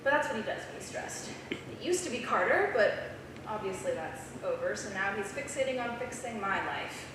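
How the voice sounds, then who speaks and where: A woman's voice: medium pitch, animated, moderate pace, close to an everyday voice. A woman playing an eager, defensive college student writer in a staged script reading in a theater. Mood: concerned. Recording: echoey theater, distant mic, clear noise.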